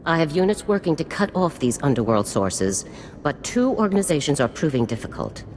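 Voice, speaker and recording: Very deep-voiced, commanding female general. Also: sing-song, brisk, darkish tone. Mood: grave, commanding. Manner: clipped, authoritative, formal. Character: stern, commanding female special forces general. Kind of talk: video game voice acting. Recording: long reverb with faint noise.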